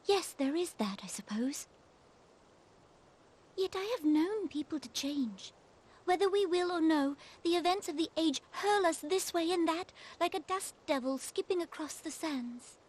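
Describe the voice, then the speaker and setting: A woman's voice: extremely high pitch, lively intonation, unhurried. A woman playing an anxious, idealistic young queen in a fantasy game, speaking in a formal, earnest, archaic way. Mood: troubled, doubtful. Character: anxious, idealistic young female sovereign of a troubled realm.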